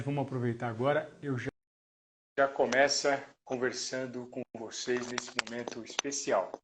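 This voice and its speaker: A man's voice: medium pitch, a little hiss or hum. A man, hosting a casual live chat in a friendly, chatty way. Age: 40s. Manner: friendly, chatty, laughs easily. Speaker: host.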